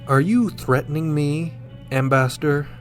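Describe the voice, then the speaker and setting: A man's voice: a little high, a little slow, faint music behind, lightly acted. A man playing a stern, exasperated starship captain in a sci-fi radio drama, blunt and questioning. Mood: indignant. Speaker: voice actor.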